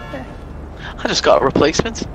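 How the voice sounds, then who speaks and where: Lively, higher-pitched young man teasing over games. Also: unhurried, noisy background. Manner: teasing, mock-dramatic. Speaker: gaming co-player. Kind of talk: casual gameplay banter. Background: music and game sounds.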